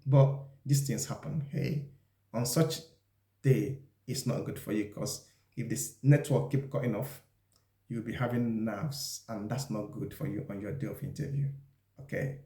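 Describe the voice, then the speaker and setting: A man presenting an instructional talk, explaining things deliberately and speaking straight to the viewer. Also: lowish voice, dark tone. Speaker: video presenter giving job interview advice. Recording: echoey, roomy sound.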